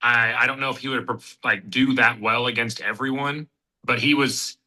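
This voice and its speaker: Bright-voiced man in his thirties, rambling. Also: mid-range voice, normal speed, rough recording. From Kansas City. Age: 30s. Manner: relaxed, rambling, many fillers and restarts. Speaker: guest, bare-knuckle fighter. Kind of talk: casual interview chat.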